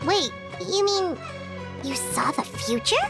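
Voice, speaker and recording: A woman's voice: extremely high pitch, expressive, takes it slowly, pitched above the performer's own voice, exaggerated voice. A woman voicing a tiny, chirpy, childlike fairy companion in a video game. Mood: concerned. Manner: chirpy, speaks of herself in third person. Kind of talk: amateur video game voice acting. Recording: music behind the voice.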